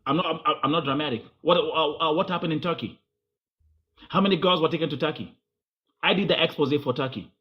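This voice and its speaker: A man's voice: fairly high pitch, a little fast, dull audio. A man from Kenya hosting a confrontational interview, pushy and talking over his guest, switching between English and Swahili.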